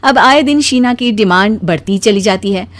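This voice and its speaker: A woman narrating a scripted animated story as its storyteller. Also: mid-range voice, moderate pace.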